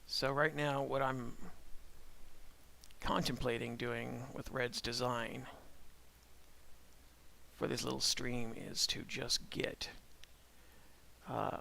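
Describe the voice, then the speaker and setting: A middle-aged man chatting casually on a live stream while he draws, thinking aloud in a calm voice with halting restarts. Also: mid-range voice, gravelly, slight background noise. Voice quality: calm-sounding voice. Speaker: streamer and animator.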